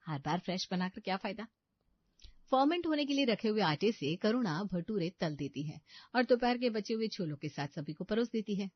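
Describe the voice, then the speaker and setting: A woman reads a domestic moral story as its narrator, in a scripted storybook style. Her voice sits at a middling pitch. The sound is close and dry, with a slightly dulled top end. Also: lively intonation, moderate pace, no echo, highs cut off.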